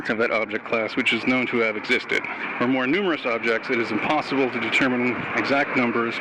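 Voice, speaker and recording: A man's voice: mid-range voice, close to an everyday voice. Guy playing a grumbly researcher dude reading a dry official log, kinda irritable, audio drama style. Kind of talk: scripted in-character document reading. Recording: noisy outdoors, later echoey bathroom.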